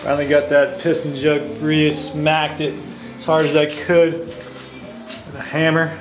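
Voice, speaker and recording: A man's voice: fairly high pitch, flat delivery, unhurried, over music. A man talking casually and thinking aloud as he narrates hands-on repair work as an amateur mechanic. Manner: informal, thinking aloud while working. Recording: clear background noise, camera mic.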